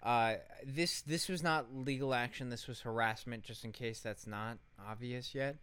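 A man's voice: medium pitch, normal speed, fairly bright tone, clean tone. A man co-hosting a podcast, telling a story in casual commentary with an emphatic, sarcastic manner and casual swearing. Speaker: podcast co-host.

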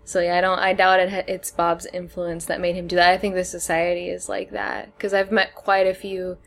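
A woman's voice: on the low side, monotone, fairly quick, creaky, soft background music. A woman co-hosting a podcast in casual, conversational discussion. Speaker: podcast co-host.

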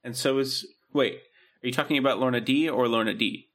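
A man's voice: medium pitch, steady tone, a little fast, a bit bright. A man co-hosting a podcast in casual banter, with a laid-back, loose delivery that often trails off. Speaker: podcast co-host.

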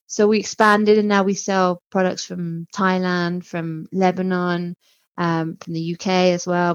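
A woman's voice: medium pitch, dry recording, dull audio. A woman speaking as an interview guest about her own work in a chatty, informal, self-deprecating way. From outside Mexico, not American. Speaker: interview guest, small restaurant and shop owner. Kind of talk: conversational interview.

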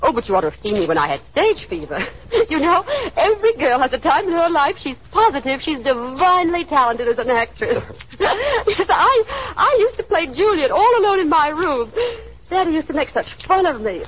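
A woman's voice: high voice, big pitch swings, normal speed. An actress playing a chatty, anxious young small-town woman putting on airs in a 1930s radio drama, with a gushing manner and nervous little laughs. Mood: gushing, affected. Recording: dull, hissy 1930s radio recording.

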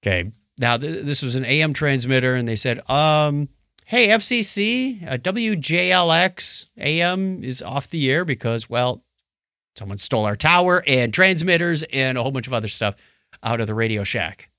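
A man hosts a casual talk show with a lively, swinging delivery. He retells news items with wry asides and banter. His mid-pitched voice is recorded dry, with no room sound. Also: moderate pace, no room sound. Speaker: podcast host.

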